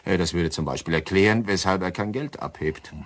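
An actor playing a bookish doctor who muses hesitantly as an amateur sleuth in a German crime radio drama. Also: male voice, sits low, a little fast, lightly acted. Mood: speculating. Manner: hesitant, musing, storytelling.

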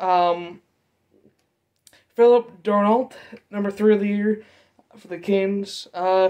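A young man giving a casual game recap from notes, speaking haltingly and stumbling over names and figures. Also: high voice, darkish tone. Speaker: hockey fan vlogger. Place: dim bedroom, seated at desk.

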